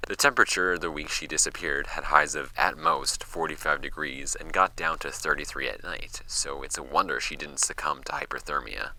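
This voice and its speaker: An actor playing a brooding paranormal case archivist in a horror audio drama, reading files in a measured, gravelly, breathy delivery. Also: male voice, rumbling low, pushed and bright, heavily acted, rough recording. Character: male paranormal case archivist, musing over strange files.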